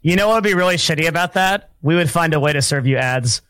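Male podcast guest, quick and dry-sounding, rambling chatter. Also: a little high, a bit bright, clean tone, no echo. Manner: rambling, laughing, swears freely. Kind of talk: casual group chat.

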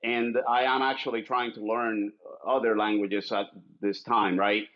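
Cuban-born man, halting, muffled sound. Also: on the low side, flat delivery. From Cuba. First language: Spanish. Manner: hesitant, many restarts and fillers. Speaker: podcast co-host. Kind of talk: casual chat.

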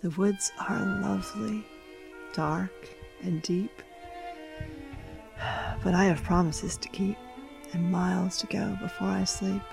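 A woman giving an expressive, reflective poetry recital with dramatic pauses. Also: medium pitch, vocal fry, over music, poor audio.

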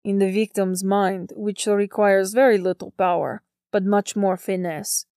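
A woman voicing a gentlemanly male ghost in an audiobook, mischievous and suave as he instructs. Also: medium pitch, fairly even intonation, a little slow, no room sound, studio quality, natural voice. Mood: suave, instructive.

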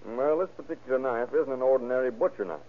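An actor playing a streetwise, eager, husky-voiced police detective in an old-time radio crime drama. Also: male voice, mid-range voice, fast, natural voice. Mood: brisk, matter-of-fact. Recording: narrow, tinny vintage radio recording.

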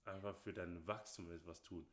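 Brief: man, lowish voice, opinionated podcast co-host, repeats phrases, echoey room. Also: fairly even intonation, normal speed. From Nigeria. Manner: emphatic, repeats phrases, often says "okay, hey". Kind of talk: casual opinionated chat. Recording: roomy echo.

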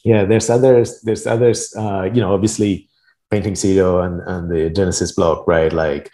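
Low, mellow-voiced man from Argentina. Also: fairly even intonation, moderate pace. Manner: informal, tentative phrasing with frequent "like" and "right?". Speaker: interview guest, project creator. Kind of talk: casual conversational interview.

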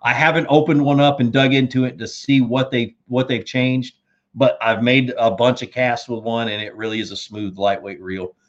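A man hosting a casual fishing chat, reading viewer questions and answering in a relaxed, friendly way, slightly hoarse as he loses his voice. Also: mid-range voice, normal speed, muffled recording. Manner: laid-back, says dude and man, reads viewer comments aloud. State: losing his voice.

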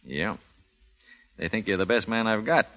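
An actor playing a cool, weary racket boss in a 1930s radio drama, terse and understated. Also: male voice, fairly low pitch, moderate pace, pushed and bright. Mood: cool, resigned. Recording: dull, reverberant 1930s radio recording.

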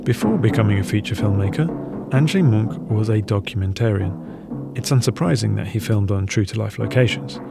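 A man's voice: fairly low pitch, a little fast, vocal fry, loud music behind the voice. A man reading scripted documentary narration as the narrator.

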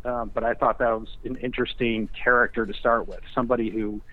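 A man's voice: mid-range voice, little pitch movement, fast talker. A man speaking over a phone line as an interview guest, hesitant and self-deprecating in casual conversation. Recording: band-limited phone line.

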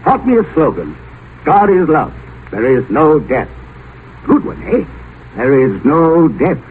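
Casting: rich old tycoon, excitable and folksy, swooping delivery; 1950 radio drama, thin noisy sound. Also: male voice, on the high side, unhurried, phone-quality sound. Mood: folksy, restless. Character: rich, volatile old tycoon fearful of death.

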